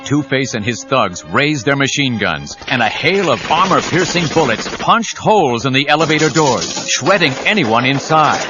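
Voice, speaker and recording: A man's voice: a little high, natural voice. A man narrating an action audio drama with urgent, suspenseful scripted delivery. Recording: dull cassette audio with dramatic music.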